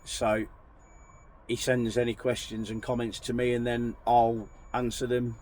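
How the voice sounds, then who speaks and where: A man talks to his viewers from his truck cab, his voice still croaky from a recent chest infection. He rambles in a friendly, apologetic way, pitched at an everyday middle register. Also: monotone, unhurried, a little mellow. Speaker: truck driver vlogger. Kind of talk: casual chat to camera.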